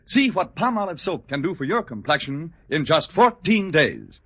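Male American vintage commercial announcer: highish, animated, emphatic and instructional, on a dull old recording. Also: moderate pace, husky. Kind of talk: 1940s radio advertising read. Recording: dull vintage radio broadcast.

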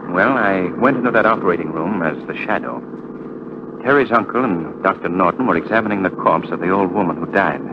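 An actor playing an assured gentleman detective, measured and authoritative, in 1940s radio drama style. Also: deep voice. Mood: thoughtful. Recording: muffled, noisy 1940 radio recording.

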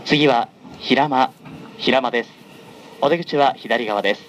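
A man making formal, scripted onboard train announcements in a measured, polite manner, as a conductor does. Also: mid-range voice, low-quality recording. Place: onboard a running train, over the announcement system. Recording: clear noise of a moving train. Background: train running noise.

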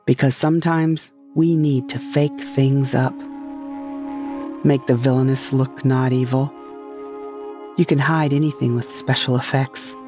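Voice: medium pitch, steady tone, unhurried. A narrator delivering a scripted dramatic monologue in a sci-fi audio drama. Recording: faint music behind the voice.

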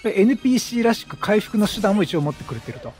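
High-pitched, animated man commentating a game. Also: fast, soft background music. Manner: chatty, jokey, exclamatory, reacting out loud. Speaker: game streamer. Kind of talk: casual video game commentary.

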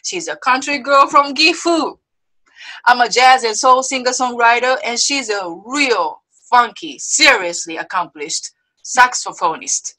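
A woman from Osaka with a Japanese accent, hosting a video-call interview show in a bubbly, excitable way, laughing often. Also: on the high side, unhurried, low-quality recording. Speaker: host. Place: indoors before a movie-themed backdrop. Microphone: webcam video call.

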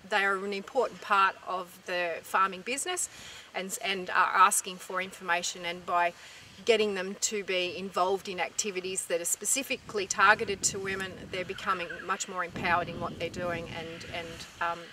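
An Australian woman in middle age gives an informative, earnest interview. Her voice is bright, and it is picked up from a distance outdoors with some background noise. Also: fairly low pitch, fairly even intonation, moderate pace. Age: middle-aged. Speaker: farming group representative. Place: outdoors in open dry farmland.

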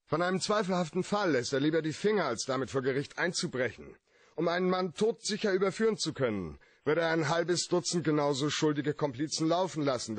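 A man's voice: a little high, no echo. A man playing a cool, cynical private detective in a German radio play, brash, mocking and self-assured.

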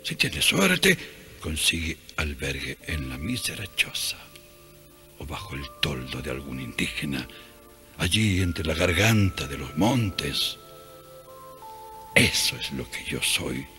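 A man playing a zealous, undaunted missionary priest in a radio drama, preaching grandly with an Italian accent and dramatic pauses. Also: rumbling low, sing-song, bright, forceful tone, faint music behind, full character voice. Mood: solemn, fervent. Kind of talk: radio drama acting, declamatory sermon.

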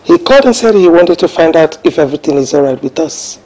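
A Nigerian woman acting a calm, reasoning wife in a domestic film drama, measured and soothing. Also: low voice, rough recording. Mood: thoughtful.

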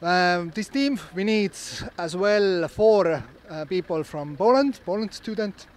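Man, halting English, outdoors, handheld recorder. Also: high-pitched, animated. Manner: halting, searching for words. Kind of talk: casual explanation. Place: outdoors at a training ground. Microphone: handheld recorder held close.

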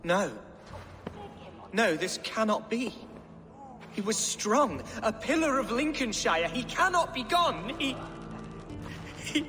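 A man's voice: very high-pitched, big pitch swings, takes it slowly, long pauses, full character voice. A man playing a naive, emotional young Anglo-Saxon lord in a video game, with an earnest way of talking. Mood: shocked, grieving. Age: young adult. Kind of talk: video game cutscene acting. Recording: hall reverb with faint music.

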